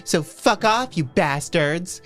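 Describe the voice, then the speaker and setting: A performer voicing a melodramatic, sarcastic goth teenage girl in a comic, shouty dramatic reading. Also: medium pitch, lively intonation, takes it slowly, soft background music. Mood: snarky, defiant. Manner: shouty, snarky, overdramatic.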